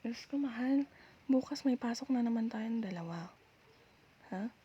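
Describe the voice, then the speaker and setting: A woman's voice: a little high, animated, moderate pace, mellow, husky, lo-fi sound. A woman playing a nagging, sulky young Filipino girlfriend who scolds and questions her partner in an ASMR roleplay. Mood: hurt, reproachful. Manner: scolding, pouty, rhetorical questioning.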